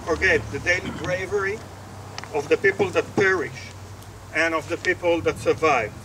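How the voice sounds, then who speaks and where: A man speaks formally and solemnly, as an official at a commemoration. His voice sits a little high and his phrasing is deliberate. It is recorded from some way off with clear background noise. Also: far from the mic. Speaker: embassy official giving a speech.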